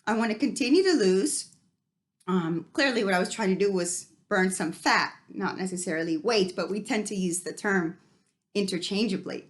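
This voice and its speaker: A woman's voice: mid-range voice, moderate pace. A woman from Guatemala, a fitness company founder, telling her personal story in an earnest, reflective way with frequent ums and restarts. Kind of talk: personal story told to camera.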